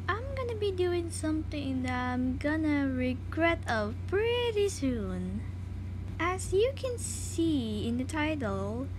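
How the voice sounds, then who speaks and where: High-pitched, animated young woman. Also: a little hiss or hum, rough recording. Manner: self-deprecating, dramatic. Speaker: video creator, host. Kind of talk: scripted animated dare video narration.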